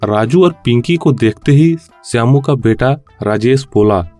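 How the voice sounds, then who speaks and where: A man's voice: mid-range voice, lively intonation, unhurried, mellow, no echo. Male story narrator over music. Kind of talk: scripted children's story narration.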